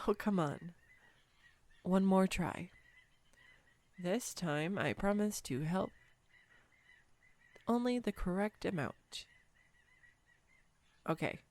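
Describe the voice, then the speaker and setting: A woman's voice: medium pitch, lively intonation, extremely slow, pauses often, a little mellow. A woman playing a clumsy, sweetly self-deprecating young woman on a date, in an intimate, earnest romantic audio roleplay. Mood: playful.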